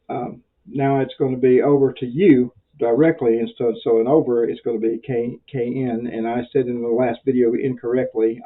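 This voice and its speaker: A man's voice: mid-range voice, narrow, tinny audio. An American man giving an informal tutorial as an instructor, talking in a rambling, conversational, self-deprecating way. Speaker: amateur radio instructor.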